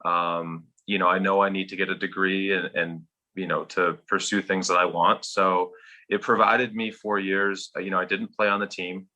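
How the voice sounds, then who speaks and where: A man in his thirties with a faint Minnesotan accent. His low voice has a sharp, forceful edge. He tells his story in a steady, reflective way as a guest in a casual interview. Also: moderate pace. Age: 30s. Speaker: golf professional guest.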